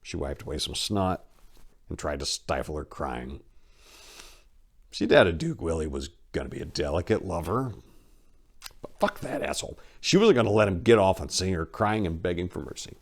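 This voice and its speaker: Casting: male storyteller with a low voice, dramatic delivery for crime fiction narration. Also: vocal fry. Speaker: narrator and author reading his story.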